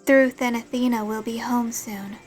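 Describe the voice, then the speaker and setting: Girlish mummy goddess played by a woman, somewhat high voice, terse, matter-of-fact, audio drama, music underneath. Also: steady tone, normal speed, close to an everyday voice. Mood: flat warning.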